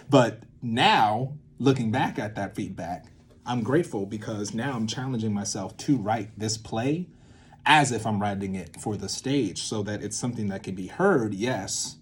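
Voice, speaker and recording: A young man explaining in a casual, halting way, thinking aloud and often repeating and checking himself. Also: lowish voice, slight background noise. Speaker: creator teaching a creative method.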